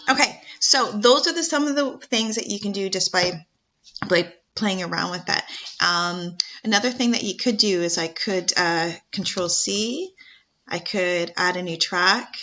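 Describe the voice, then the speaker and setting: A woman teacher walks students through a screen-share tutorial. Her voice is bright and clear at a middle pitch. She keeps it chatty and encouraging. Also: measured pace, highs cut off, a little hiss or hum.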